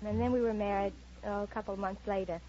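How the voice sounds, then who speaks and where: A woman chatting casually as a contestant on a quiz show, with a husky voice. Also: medium pitch, steady tone, quick pace, warm tone. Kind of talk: casual anecdote and short answers to a host. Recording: dull old radio recording, light audience sound.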